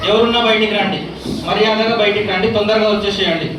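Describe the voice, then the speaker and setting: A woman's voice: mid-range voice, steady tone, fast. A woman hosting a church children's puppet show, playfully questioning and coaxing the kids. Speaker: vacation bible school leader. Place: large church hall. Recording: distant echoey hall with crowd noise. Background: noisy children.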